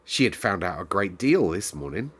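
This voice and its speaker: Guy with a lowish voice doing story time, talking to his listeners. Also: sing-song, moderate pace, fairly bright tone. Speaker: storyteller and host. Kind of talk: expressive read-aloud and casual chat to listeners.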